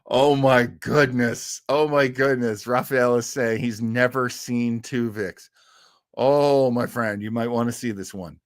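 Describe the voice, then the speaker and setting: Male livestream host, middle-aged; very lively intonation, dry close sound, jovial, rambling, casual chat delivery. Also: medium pitch, clean tone, dry recording. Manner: jovial, rambling, chuckling, self-deprecating. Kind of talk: casual livestream chat, reading viewers' comments.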